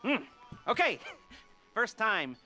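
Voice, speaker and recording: Bright-voiced, stammering, shifty heist planner. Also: male voice, sits high, very animated, full character voice. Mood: awkward, creepy. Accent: American. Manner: halting, rambling, stammering with frequent uhs and nervous chuckles. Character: nervy, sly, creepy middle-aged male heist planner. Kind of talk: video game cutscene acting. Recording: music behind the voice.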